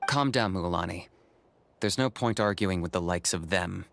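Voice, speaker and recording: A man's voice: low voice, normal speed, creaky, a bit bright, a little hiss or hum. A man playing a stern, level-headed, protective warrior in a video game, composed and curt. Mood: disdainful, calm. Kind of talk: English video game voice acting.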